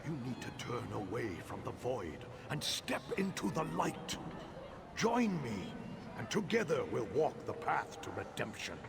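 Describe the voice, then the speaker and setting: An actor playing a zealous, fatherly high priest in a fantasy game, preaching in a grand theatrical way with a creaky voice. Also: sing-song, measured pace. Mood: coaxing. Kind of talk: scripted fantasy game dialogue. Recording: loud ambient game noise behind.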